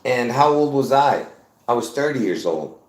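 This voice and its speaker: A man in his fifties running a live stream, circling back and repeating phrases as he presses his point on viewers. His voice sits at an ordinary middle pitch for a man. The room gives everything a noticeable echo. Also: sing-song, normal speed, slight background noise. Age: 50s. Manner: repetitive, insistent, addresses viewers as folks. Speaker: livestream host. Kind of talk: rambling live-stream monologue. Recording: roomy echo.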